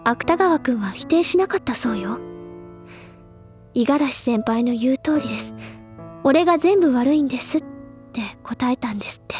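An actress playing a spirited, caring teenage schoolgirl with a feminine, expressive, gently insistent manner in a Japanese audio drama. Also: sits high, normal speed, pauses often, soft background music. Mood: grave, confiding.

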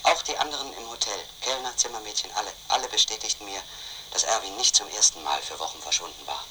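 A man's voice: on the low side, fast talker, background noise, lightly acted. An actor playing a brooding, obsessed man who narrates his own investigation in a radio play, intense and questioning himself. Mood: brooding, agitated.

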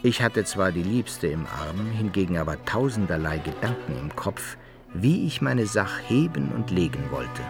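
Low creaky storytelling adventurer. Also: male voice, big pitch swings, moderate pace, music in the background, exaggerated voice. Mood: wry, reflective. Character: adventurer recounting his own life, wry and reflective. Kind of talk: first-person storytelling in archaic German, radio play.

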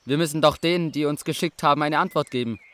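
A temple envoy, presses a prophet with demanding questions. His voice sits slightly high. The scene is from a biblical radio drama. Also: fast, bright, forceful tone, clear, resonant tone. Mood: pressing.

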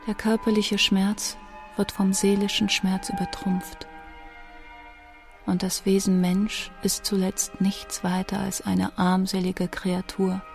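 A woman's voice: mid-range voice, monotone, slow, vocal fry, darkish tone, faint music behind. An actress playing a dying young woman musician who speaks in a grave, introspective, bitter way, in a radio drama monologue. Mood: anguished, bitter.

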